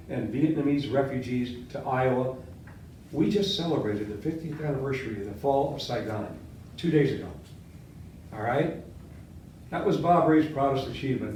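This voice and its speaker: An older man, a history professor born in Latvia with Latvian as his first language, giving a classroom lecture and quizzing his students, talking with lively gestures. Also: on the high side, slight background noise. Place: seminar room with whiteboard. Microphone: far camera. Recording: echoey classroom from far off.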